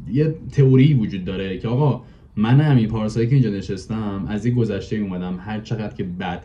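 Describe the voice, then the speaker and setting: Very dark-voiced young man, slightly muffled. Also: lowish voice. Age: 20s. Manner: explanatory, colloquial, repeats for emphasis. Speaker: podcast guest. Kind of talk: casual chat. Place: living room, indoors. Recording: dull, muffled video call audio.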